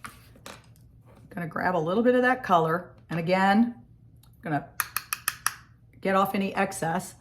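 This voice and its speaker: A woman with a scratchy, hoarse voice from a cold, chatting casually through a makeup tutorial in her home office. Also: mid-range voice, normal speed, long pauses. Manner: chatty, friendly step-by-step explaining. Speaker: makeup vlogger.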